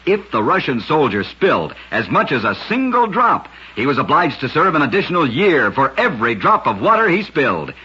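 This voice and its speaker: A man narrating short scripted stories as a vintage radio host, in a dramatic, measured storytelling delivery. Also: on the high side, big pitch swings, sharp tone. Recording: dull, husky-sounding vintage radio recording.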